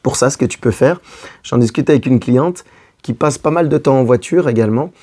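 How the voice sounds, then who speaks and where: A hoarse man working as a love coach, speaking straight to the viewer in a casual advice monologue and repeating his key phrases. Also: mid-range voice, brisk, warm tone. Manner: addresses the listener directly, repeating key phrases.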